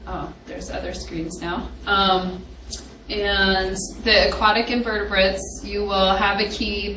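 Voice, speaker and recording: A young woman with a quiet voice giving an informal study-session lecture, hesitant with frequent ums. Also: mid-range voice. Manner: hesitant, many ums, laughs softly. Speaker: presenter on aquatic ecology. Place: classroom with hard walls. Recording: echoey classroom from far off, background hiss.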